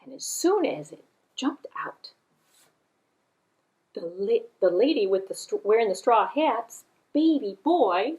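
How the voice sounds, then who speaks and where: Female children's puppet-show narrator: unhurried, lively intonation, deliberate repetition and friendly-listener asides. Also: on the high side, rough recording. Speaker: children's library puppeteer and storyteller. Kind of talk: animated children's storytelling.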